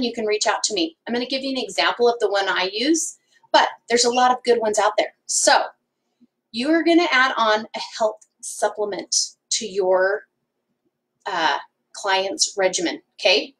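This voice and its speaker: A woman's voice: medium pitch, fairly bright tone. A woman in her forties, a hairdresser and salon owner, giving a whiteboard business talk and ending points with "okay?", recorded by a camera across a room at home. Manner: emphatic, ends points with "okay?". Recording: voice from a distance.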